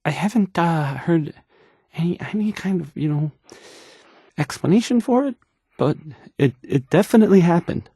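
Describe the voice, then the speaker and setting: An actor playing a nervous, bumbling, insecure male radio DJ in a video game, with a halting, stammering, unsure delivery. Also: fairly high pitch, expressive, warm tone, slightly rough, dry recording, studio quality. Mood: nervous, flustered. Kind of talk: in-character radio news broadcast, video game voice acting.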